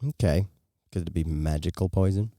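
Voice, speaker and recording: A man with a deep, dark-toned voice co-hosts a casual podcast. He talks in a wisecracking, bantering way. The recording is close and dry. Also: normal speed, no room sound. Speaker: podcast co-host.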